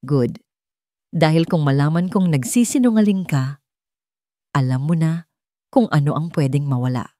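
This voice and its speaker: A woman's voice: low-pitched, warm tone, no room sound, studio quality, natural voice. Woman voicing a cold, menacing rich schemer. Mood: suspicious, threatening. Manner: deliberate, threatening, sneering.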